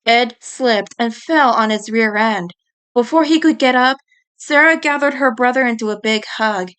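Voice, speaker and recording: A woman's voice: fairly high pitch, normal speed, a bit bright, clean tone. A woman reading a story aloud as dramatic audiobook narration. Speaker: audiobook reader.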